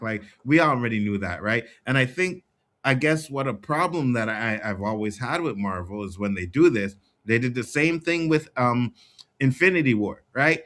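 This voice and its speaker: A man's voice: mid-range voice, pushed and bright. A man co-hosting a casual chat show, talkative and emphatic, often laughing.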